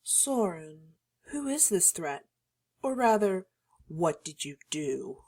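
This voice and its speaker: A woman's voice: fairly low pitch, sing-song, slow pace, mellow, husky, exaggerated voice. A woman as a languid, swooping vampire noblewoman. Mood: amused curiosity. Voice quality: melodious. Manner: playful, mocking, languid. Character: haughty, teasing, predatory female vampire noble. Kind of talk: audio drama acting.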